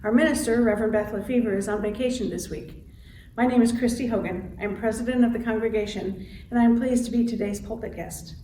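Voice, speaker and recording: A woman reading a scripted church welcome and liturgy in a measured, solemn way as worship leader. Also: on the high side, steady tone, mellow. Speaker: congregation president and guest worship leader. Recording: roomy, distant mic with faint noise.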